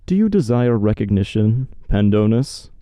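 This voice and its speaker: A man playing a cold, grandiose villain who rules a dream realm, speaking with measured menace in an audio drama. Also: lowish voice, very animated, mellow, clear, resonant tone, no echo. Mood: cold disdain.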